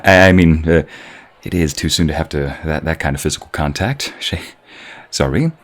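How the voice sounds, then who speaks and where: Man playing a bashful, deep-voiced gentleman violinist. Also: breathy edge, full character voice. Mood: flustered, bashful. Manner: bashful, halting, formally polite. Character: shy, polite young male concert violinist, smitten and courteous. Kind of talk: ASMR romantic roleplay audio drama.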